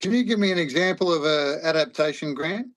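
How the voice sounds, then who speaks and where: An Australian man chairing a parliamentary hearing, speaking haltingly with many fillers. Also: a little high, a bit bright. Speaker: committee chair.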